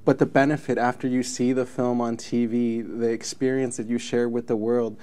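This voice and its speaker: A man's voice: mid-range voice, level tone, moderate pace, mellow. A man in his thirties from Mexico, a native Spanish speaker, answering interview questions in an earnest, reflective way. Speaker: film commission president. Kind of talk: interview guest.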